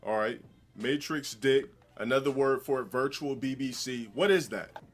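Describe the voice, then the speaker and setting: A man with a deep voice ranting insults at a critic in a played-back clip. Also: normal speed. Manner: insulting, boastful rant. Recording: played clip with faint music.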